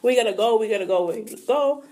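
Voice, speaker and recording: A young woman with a New York accent and a slightly raised pitch. She talks in a relaxed, slangy way and chips in with quick agreement. Casual podcast chat among friends. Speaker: podcast co-host.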